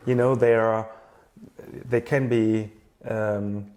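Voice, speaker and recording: A man being interviewed as a product designer, explaining his work in a thoughtful, halting way and often repeating words as he goes. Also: lowish voice, flat delivery, a little mellow.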